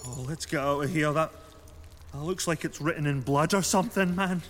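A man's voice: on the high side, a little fast, natural voice. An actor playing a goofy, lovestruck young Scottish man in a radio horror drama, nervously joking and rambling, recorded with long reverb. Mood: scared. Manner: bumbling, jokey, nervous rambling. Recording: long reverb, faint noise.

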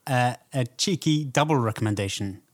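Male podcast co-host, mid-range voice, casual and conversational, measured halting delivery. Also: mid-range voice. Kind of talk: casual film podcast chat.

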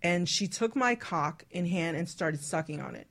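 Lower-pitched woman hosting candid talk radio. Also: animated, normal speed, creaky, a bit bright. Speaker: radio talk show host. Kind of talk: candid casual chat, reading out listener stories.

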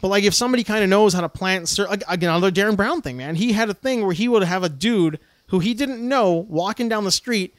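High-voiced man telling a rambling story. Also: quick pace, hardly any pauses, no room sound. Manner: rambling storyteller, laughs often, animated retellings. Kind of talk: casual chat.

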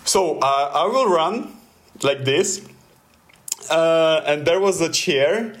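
A man with a Russian accent, telling a story in halting English with many fillers and restarts. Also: on the high side, expressive. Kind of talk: casual storytelling.

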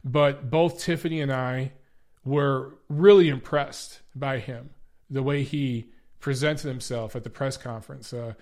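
A man's voice: medium pitch, steady tone, measured pace, darkish tone. Guy hosting a sports podcast, kinda stuffy nose voice, chatty and loose, keeps saying guys. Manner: conversational, repeats phrases, frequent "guys" and "uh". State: stuffy, congested voice. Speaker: podcast host. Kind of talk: casual boxing podcast talk to viewers.

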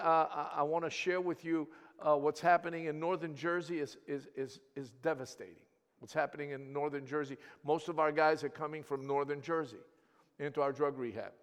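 A man with a Greek accent, a native Greek speaker, preaching a sermon in a church, swinging from quiet asides to loud emphatic peaks and often repeating words as he restarts. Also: on the high side, in a large hall. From Greece.

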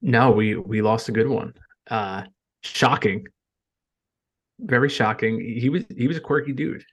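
Monotone young man with a gravelly voice. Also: medium pitch. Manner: monotone, halting speech with many fillers. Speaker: podcast co-host. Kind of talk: casual sports chat.

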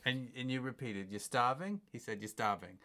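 A performer voicing a cranky old woman who chimes in with dry, deadpan remarks in an improvised comedy sketch. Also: medium pitch, moderate pace. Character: long-suffering, cranky old woman sidekick.

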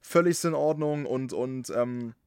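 Animated podcast host with slight Saxon accent. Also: male voice, medium pitch, a little slow, smooth, no echo, clean studio sound. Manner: animated, emphatic, swears casually. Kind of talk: casual podcast chat.

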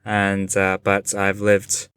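Forties male guest from Japan, low-ish smooth voice, thoughtful, filler-heavy remote interview. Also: completely flat delivery, takes it slowly, fairly bright tone, dry recording, clean studio sound. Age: 40s. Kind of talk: casual interview conversation. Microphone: remote online call.

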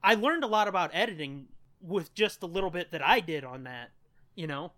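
A man's voice: sits high, lively intonation, fairly quick, bright, forceful tone, no echo. A man co-hosting a podcast in casual, rambling and casually profane chat. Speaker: podcast co-host.

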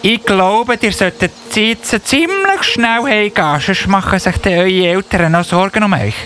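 A man's voice: high voice, lively intonation, measured pace, bright, forceful tone, full character voice. A man with a Swiss German accent playing a kindly father in an amateur children's Christmas radio play. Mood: gently urging.

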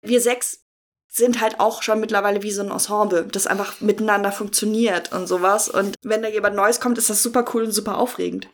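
A woman's voice: medium pitch, steady tone, fairly quick. A woman talking casually as an interview guest, an improv actor who explains things with everyday comparisons. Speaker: guest, improv actor in a role-playing podcast.

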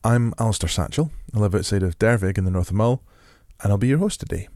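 Male podcast host, low voice, dry close sound, gentle and relaxed in his questions. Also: fast, no echo. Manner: gentle, descriptive intro, then relaxed questions. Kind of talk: podcast interview. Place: rural home.

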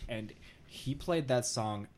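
A man co-hosting a podcast in a casual group chat, talking in an animated, joking way. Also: mid-range voice, slow pace, slight background noise. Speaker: podcast co-host.

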